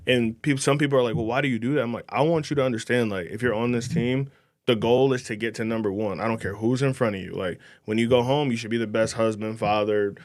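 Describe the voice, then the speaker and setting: Fast-talking confident man. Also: mid-range voice. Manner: confident, emphatic, motivational. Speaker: podcast guest, mortgage banker. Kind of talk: casual interview talk.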